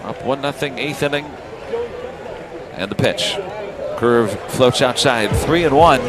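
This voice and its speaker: A man with an American accent calling live baseball play-by-play on the radio in clipped phrases with pauses between pitches. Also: over a noisy crowd, heavy background noise.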